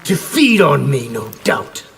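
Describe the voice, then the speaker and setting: An actor playing a fierce, loyal, battle-hardened soldier with a gravelly voice in a fighting video game. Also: male voice, medium pitch, very animated, slow pace, over music, heavily acted. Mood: grim menace.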